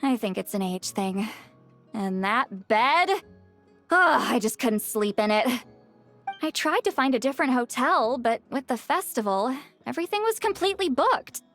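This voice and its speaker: Game role: prickly, huffy slacker woman, high and lively delivery, faint music behind. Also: moderate pace. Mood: dry, casual. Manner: huffy, dry, sighing. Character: prickly, self-conscious woman, easily embarrassed, fond of slacking. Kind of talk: animated game voice acting.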